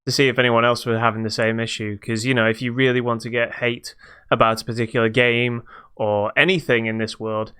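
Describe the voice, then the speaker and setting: A man chats casually as co-host of a gaming podcast. His voice is smooth and clear, sitting at an average male pitch. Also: level tone, fairly quick, bright, forceful tone.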